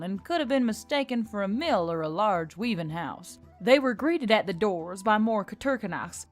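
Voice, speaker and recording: A woman narrates a story in a scripted audiobook style, with a mid-pitched voice. Faint music plays behind her reading.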